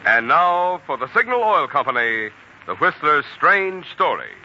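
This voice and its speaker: A man's voice: fairly high pitch, very animated, unhurried, lo-fi sound. A man announcing for a radio sponsor in a polished, emphatic 1940s style. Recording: old radio recording, faint noise.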